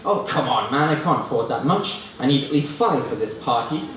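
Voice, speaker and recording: A man performing stand-up comedy, hesitant and self-deprecating, reading parody prose in a deadpan way. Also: medium pitch, brisk, distant mic. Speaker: stand-up comedian reading own mock novels. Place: student union comedy stand-up event, indoors. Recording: roomy, noisy live room recording from afar. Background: audience laughter, room noise.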